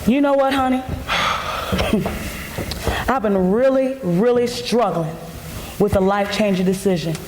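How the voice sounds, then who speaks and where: An actress in a gospel stage play, playing a devout, fed-up pastor's wife with an emphatic, preachy, reproachful delivery. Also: female voice, medium pitch, a little slow, pauses often, noisy background, lightly acted. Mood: weary, troubled. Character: fed-up, devout pastor's wife confronting her self-righteous husband. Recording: blown-out bootleg audio, echoing theater hall.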